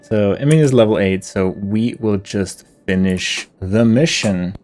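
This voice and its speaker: A man's voice: fairly low pitch, unhurried, a little mellow. Laid-back man narrating his gameplay. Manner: laid-back, chuckling, thinking aloud. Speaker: gameplay video host. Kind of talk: casual gameplay commentary. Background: game music.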